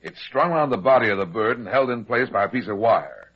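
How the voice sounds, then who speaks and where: Husky, shrewd radio detective. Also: male voice, lowish voice, expressive. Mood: assured, explaining. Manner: brisk, commanding, explanatory. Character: heavyset, shrewd male private detective in charge. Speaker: lead actor. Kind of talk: old-time radio detective acting. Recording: dull, lo-fi old radio recording.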